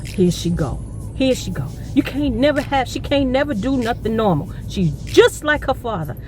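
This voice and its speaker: An exasperated mother from a vlog anecdote, acted out by a woman. She scolds with sarcasm and repetition, her pitch pushed up. Music sits clearly behind the voice. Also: animated, normal speed, darkish tone, close to an everyday voice.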